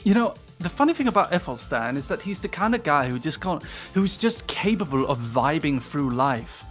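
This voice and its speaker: This man from Denmark speaks English with a British accent he learned in school. His voice sits a little high, and his pitch swings widely as he talks. He chats animatedly, laughs a lot and swears now and then. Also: sing-song, moderate pace, a bit bright, faint music behind. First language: Danish. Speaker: VTuber guest. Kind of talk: casual chat.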